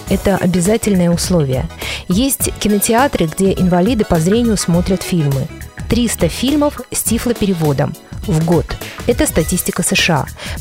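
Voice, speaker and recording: Female reporter narrating over music. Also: medium pitch. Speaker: radio reporter. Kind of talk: scripted news report narration.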